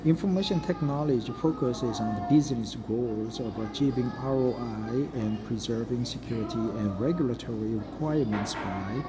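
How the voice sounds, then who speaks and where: A man's voice: medium pitch, loud music behind the voice, rough recording. A middle-aged man with a Korean accent and Korean as his first language reads an article aloud in English to practice, hesitating often with fillers and stopping to repeat phrases he likes. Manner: hesitant, frequent uh fillers, pauses to savor phrases. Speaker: English learner reading aloud. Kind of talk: self-study reading practice with commentary. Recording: noticeable background noise.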